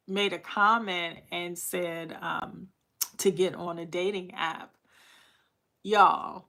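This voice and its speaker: Slow-talking raspy woman hosting a live chat. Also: medium pitch, sharp tone. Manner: chatty, laughing, greets viewers by name. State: raspy from recent flu. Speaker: livestream host. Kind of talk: casual livestream chat with viewers.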